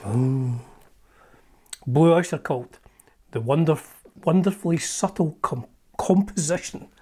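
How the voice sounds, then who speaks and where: A middle-aged Scottish man chats casually as a show's host, wandering from thought to thought with plenty of ums and false starts. His voice sits a little higher than most men's. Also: lively intonation, slow, darkish tone, slightly rough. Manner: rambling and chatty, with frequent ums and restarts. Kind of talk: casual chat, leafing through an old magazine.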